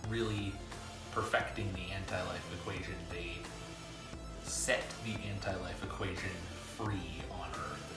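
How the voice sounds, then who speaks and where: Low-voiced man in an echoey room. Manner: halting, pauses mid-sentence. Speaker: comic book reviewer and video host. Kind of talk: casual vlog review. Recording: echoey room, music underneath.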